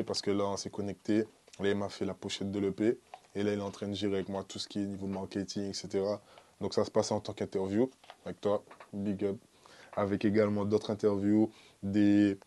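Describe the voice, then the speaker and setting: A young man from the Antilles, talking casually and in slang as a music artist being interviewed. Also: fairly low pitch. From French Antilles (born in Guadeloupe, lived in Martinique and French Guiana). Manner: slangy, informal, peppered with "tu vois" and shout-outs. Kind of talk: casual interview talk to camera. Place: small dark recording studio, indoors.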